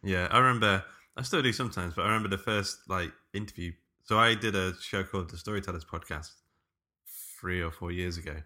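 Bright-voiced man co-hosting, lowish pitch. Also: fast. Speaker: podcast co-host. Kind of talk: casual podcast interview chat.

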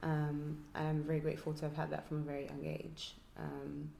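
Low, mellow woman, quiet and reflective. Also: completely flat delivery, gravelly. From Nigeria. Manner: quiet, reflective, halting with ums. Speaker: hairstylist and artist. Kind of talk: casual interview answer. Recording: echoey room with faint noise.

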